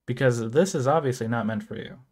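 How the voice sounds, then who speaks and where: A man's voice: mid-range voice, a little fast, very noisy. A young American man narrating an opinionated commentary video, emphatic and at times exasperated. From United States. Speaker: video essay creator. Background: background music.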